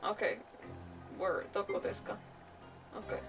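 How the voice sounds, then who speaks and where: A woman's voice: mid-range voice, steady tone, moderate pace, far from the mic. A woman livestreaming a video game with casual, playful commentary, often muttering to herself and breaking off mid-thought. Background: game music.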